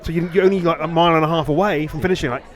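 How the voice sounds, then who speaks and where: A man's voice: a little high, fairly even intonation, rapid-fire, some chatter behind. A man in a casual podcast chat, rambling and often rephrasing himself. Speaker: podcast host. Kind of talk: casual two-person chat.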